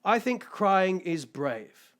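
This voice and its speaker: A man voicing a kindly, booming male rhino movie star while reading a rhyming picture book aloud. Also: sing-song, takes it slowly, exaggerated voice. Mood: earnest. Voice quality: loud deep-voiced delivery.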